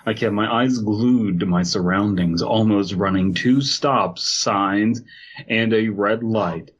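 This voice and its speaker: A man's voice: on the low side, takes it slowly, a little hiss or hum. A man co-hosting a comedy podcast, bantering casually and reading aloud in a jokey, riffing way. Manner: jokey, riffing, often breaking into laughter. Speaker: podcast co-host. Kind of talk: casual comic banter mixed with story reading. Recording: dull, lo-fi audio.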